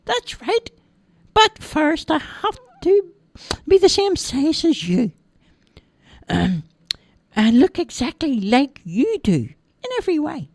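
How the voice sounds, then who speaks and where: A woman's voice: sits high, big pitch swings, takes it slowly, long pauses, darkish tone, dry recording, pitched above the performer's own voice, heavily acted. A woman voicing a tiny, chirpy magical man who lives inside a boy's heart and giggles as he talks. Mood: cheerful.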